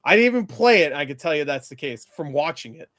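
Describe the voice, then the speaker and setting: A man's voice: on the high side, animated, fast, a bit bright, no room sound, clean studio sound. A man giving a casual film review in a rambling, emphatic and blunt way, as a movie reviewer in conversation.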